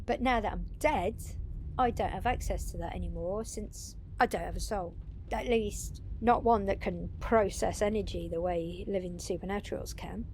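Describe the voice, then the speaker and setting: A woman's voice: medium pitch, normal speed, darkish tone, a little hiss or hum, lightly acted. A woman playing a confident, wry female vampire mentor in an audio roleplay, explaining things to one listener in a chatty, informal way. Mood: reflective, matter-of-fact.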